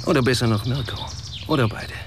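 An actor playing a proud, bitter man in a radio drama, speaking standard German at a middle pitch. His delivery is sardonic and cutting. Clear outdoor-like ambience with animal sounds sits behind him. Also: fast, background noise, lightly acted. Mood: dry, mocking. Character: bitter, proud paralysed inventor, a cynical man.

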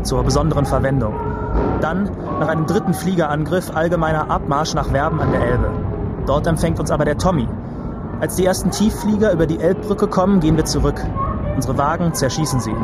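A man's voice: a little high, natural voice. A man playing a war survivor who recounts a flight from the front in short, matter-of-fact sentences for a radio play. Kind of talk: first-person radio play narration. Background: loud music and vehicle noise.

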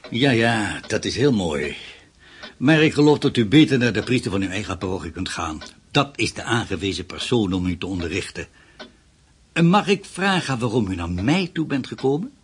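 An actor playing a kindly, devout retired priest with a very husky older voice, gentle and courteous in a radio play. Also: male voice, on the low side, very animated, moderate pace, a little hiss or hum. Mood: gently courteous. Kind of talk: Dutch radio play acting.

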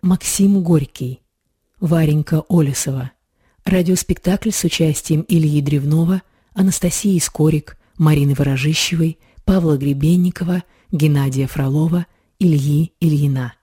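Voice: mid-range voice, normal speed, warm tone, breathy edge, dry recording, clean studio sound. An announcer reading scripted radio play credits.

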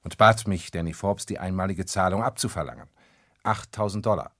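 Stiff careful lawyer in a radio play, lowish voice, very dry close sound. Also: male voice, brisk, a bit bright, no room sound. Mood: guarded, formal. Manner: precise, clipped, formal answers. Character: guarded, formal male lawyer, junior partner of a firm. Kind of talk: radio crime play acting.

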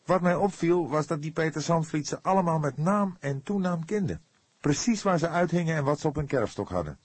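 A hot-headed young male detective, played by a male audiobook narrator, pitched far up from a low register. He speaks loudly and eagerly, with an excitable, indignant edge. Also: fairly quick, slightly rough, no echo. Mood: eager, businesslike. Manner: loud, excitable, heated delivery.

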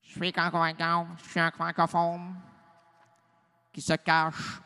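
A man's voice: on the high side, completely flat delivery, slow, bright, forceful tone, clear, resonant tone. A middle-aged man with a Québécois French accent hosting a radio show, in theatrical and playful improvised banter that jumps between registers. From Quebec.

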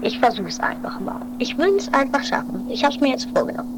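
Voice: high-pitched, big pitch swings, brisk. A performer playing a stubborn, fatalistic male daredevil in an improvised amateur comedy radio play, casual and resigned. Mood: resolute, resigned. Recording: noisy 1980s amateur recording.

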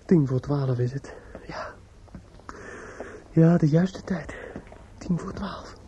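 An actor playing a desperate, distraught young man in a radio play, muttering in a halting, repetitive, obsessive way. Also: on the high side, dark tone, highs cut off, slight background noise. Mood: brooding, dazed.

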